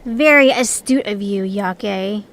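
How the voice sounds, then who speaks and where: A woman's voice: medium pitch, slow. A woman voicing a blunt, pragmatic young female creature in an audio story, with a dry, sardonic manner. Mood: dry, impatient.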